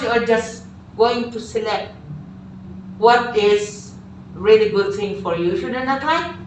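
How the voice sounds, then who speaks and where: A woman's voice: mid-range voice, recorded from a distance, a little hiss or hum. An older Filipino teacher, a woman with a Filipino accent, giving an earnest classroom lecture and acting out quoted dialogue. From Philippines. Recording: echoey room recorded from afar.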